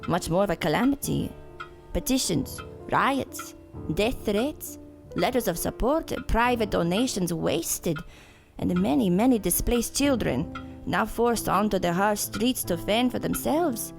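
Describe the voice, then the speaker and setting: A genteel old-fashioned nun played by a woman in an audio drama, with a gravelly creak in the voice. The speech is formal, measured and theatrical, with music playing behind. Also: medium pitch, expressive. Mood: mournful. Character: genteel, sorrowful nun, former orphanage headmistress.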